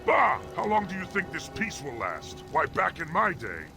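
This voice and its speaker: A man acting a gruff, proud, hot-tempered orc caravan master in a fantasy game cutscene, blustering and commanding. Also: a little high, huge pitch swings, normal speed, music in the background, heavily acted. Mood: scornful.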